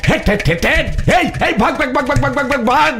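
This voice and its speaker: A man's voice: very high-pitched, animated, fast talker, vocal fry, over music, exaggerated voice. A man playing a boastful, bumbling cartoon schemer, theatrical and sprinkling English words into his Bengali. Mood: panicked. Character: boastful, bumbling male schemer of a comic neighbourhood. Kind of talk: exaggerated comedy cartoon acting.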